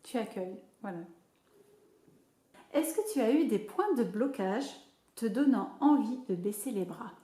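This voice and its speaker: A woman's voice: on the high side, animated, unhurried, pauses often, warm tone, roomy sound. A woman interviewing a guest in a casual style, prompting with short affirmations. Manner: encouraging, many short affirmations.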